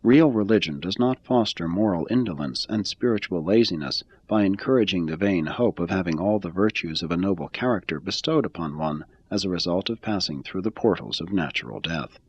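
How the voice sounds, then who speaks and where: A man with a very low, husky voice reads a religious text aloud, his pitch rising and falling in lively arcs. The sound is dry and a little muffled. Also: a little fast, few pauses. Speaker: reader. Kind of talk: scripted reading of a religious text.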